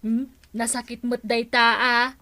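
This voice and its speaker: Sympathetic village gossip, high pitched, dry studio, comic radio drama. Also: female voice, flat delivery, a little slow, bright, forceful tone, dry recording. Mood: gossipy.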